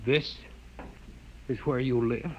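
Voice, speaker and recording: An actor playing a haughty, rigidly orthodox young scientist in a 1950s radio drama, speaking in a dramatic, clipped, incredulous way. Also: male voice, mid-range voice, steady tone, slow pace, loud music behind the voice, close to an everyday voice. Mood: wary, astonished. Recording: dull, muffled 1950s radio recording.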